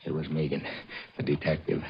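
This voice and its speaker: An actor playing a tormented, desperate husband in a halting, intense 1940s radio thriller style. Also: male voice, very deep voice, monotone, creaky, highs cut off, soft background music. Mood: haunted, tense. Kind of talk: 1940s radio thriller first-person narration and acting.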